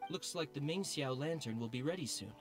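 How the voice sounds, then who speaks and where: A man as a level-headed guard officer in a game, speaking in a measured, authoritative tone at a middling pitch, with game music underneath. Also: lively intonation, lightly acted. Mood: reassuring. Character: calm male city guard officer, reassuring and steady. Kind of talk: video game cutscene voice acting. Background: game soundtrack music.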